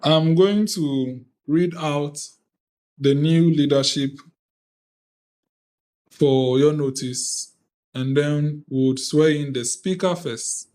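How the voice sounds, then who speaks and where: A man from Ghana with a Ghanaian accent, convening an online youth parliament on a video call in a deliberate, formal way. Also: a little high, a little mellow. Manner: deliberate, measured, reads out lists of names. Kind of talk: formal ceremonial address.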